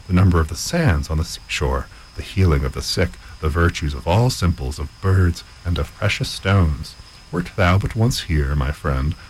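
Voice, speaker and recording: A man's voice: deep voice, darkish tone, a little hiss or hum, exaggerated voice, rough recording. A man voicing a crafty male gardener who pretends to be a wise sage, speaking in solemn, old-fashioned phrases. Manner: grand, solemn, archaic speech.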